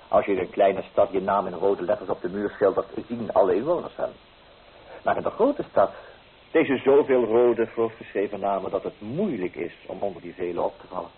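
A man's voice: on the low side, slightly rough. An actor playing an exuberant, teasing young man from the city in a Dutch radio drama. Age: young adult. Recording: muffled, tinny old radio recording.

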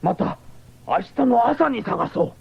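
An actor playing an elderly scientist, gruff and anxious, with a creaky voice and halting old-man speech, in a 1970s radio drama. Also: very high voice, lively intonation, heavily acted. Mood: weary, worried. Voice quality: creaky, gravelly. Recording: noisy, dull 1979 radio broadcast tape.

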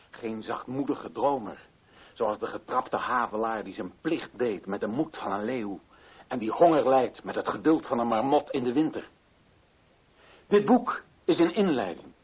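An actor playing an impassioned, indignant author declaiming against colonial injustice in a radio play, his voice husky and his delivery emphatic. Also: mid-range voice, expressive, measured pace. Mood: grave defiance. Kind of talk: dramatic radio play monologue. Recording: tinny, phone-like narrow-band sound.

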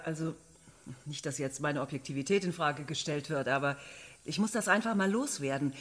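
A female scientist in a radio play, with a lowish, breathy voice. She is earnest and slightly hesitant, with a quiet sense of wonder. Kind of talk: radio drama acting.

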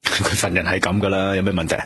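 Music runs under a low-pitched male detective in a Cantonese radio drama. He is troubled and driven, speaking with weary, brooding introspection in a Hong Kong accent. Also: flat delivery, fast talker, fairly bright tone, husky, exaggerated voice. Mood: weary, guarded. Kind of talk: radio drama acting with inner monologue.

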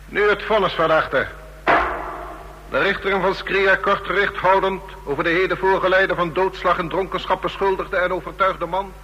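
Harsh fascist judge for radio drama: sharp, forceful, high-set voice, stern delivery, big echoing courtroom. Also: male voice, fairly even intonation, moderate pace, dull audio, slight background noise. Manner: stern, clipped, declamatory. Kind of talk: radio play acting. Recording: reverberant hall, old radio play recording.

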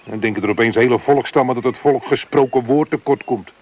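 A gruff, cynical radio inspector, played by a man, grumbles bluntly in a radio play. His voice sits in an ordinary middle register. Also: medium pitch, a little fast, close to an everyday voice. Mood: grumbling. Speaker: radio monitoring van operator. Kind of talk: radio drama dialogue.

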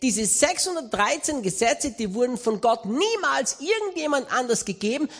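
A man with an Austrian accent preaching a sermon, telling a story with humor and lively emphasis. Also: extremely high pitch, normal speed. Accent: Austrian German. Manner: animated, humorous anecdotal delivery.

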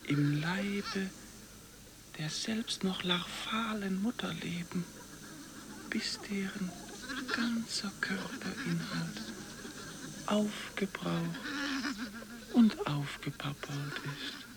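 A narrator reciting a scripted literary text deliberately, often in a breathy half-whisper. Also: medium pitch, noisy background.

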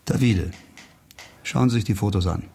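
An actor in a German radio crime drama playing a sardonic, impulsive investigator who probes and mutters wry asides. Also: male voice, on the low side, normal speed, vocal fry, warm tone, slight background noise. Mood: matter-of-fact, probing. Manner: wry, probing, mutters dry asides to himself. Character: sardonic, impulsive male investigator, a disgraced doctor turned amateur policeman.

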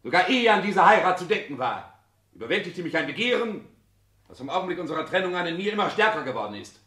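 A socially ambitious grown son with formal, measured phrasing. His pitch moves in lively arcs, and the room around him echoes. It is stilted acting from a 1960s German radio drama. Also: heavily acted. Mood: earnest. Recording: roomy, echoing 1960s radio studio recording.

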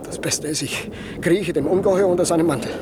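A man playing a timid, excitable, chattering shipwrecked jester in a classic radio play, fretful and theatrical. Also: a little high, fairly quick, noisy background, natural voice. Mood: anxious, disgusted.

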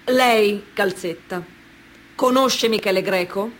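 An actress playing a stern courtroom questioner, formal and deliberate, in a radio drama. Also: female voice, mid-range voice, slight background noise, natural voice.

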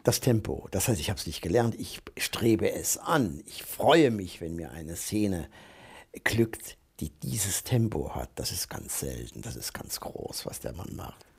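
A man's voice: deep voice, sing-song, measured pace, creaky, slightly rough. A man who writes plays, talking spontaneously in an interview. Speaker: playwright being interviewed.

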